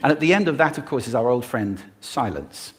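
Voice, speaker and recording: Brief: a male stage speaker with a lively, swooping delivery who demonstrates his techniques. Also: medium pitch, fairly quick, husky. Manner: expressive, demonstrating vocal techniques. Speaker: public speaker. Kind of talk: prepared talk on stage.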